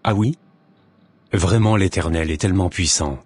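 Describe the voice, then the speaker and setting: Man voicing a devout young cartoon suitor, deep, husky, measured and earnest. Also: dry recording.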